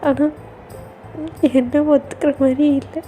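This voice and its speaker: A woman's voice: high-pitched, level tone, a little slow, dark tone, soft background music. A woman voicing a despairing young woman who pleads tearfully to be taken away from home.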